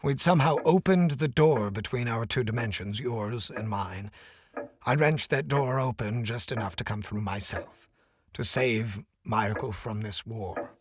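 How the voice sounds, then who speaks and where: An actor playing an earnest, obsessed scientist in an audio drama, speaking with intense, deliberate formality. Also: male voice, medium pitch, close to an everyday voice. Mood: solemn, insistent. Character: earnest, obsessed male scientist stranded in another dimension.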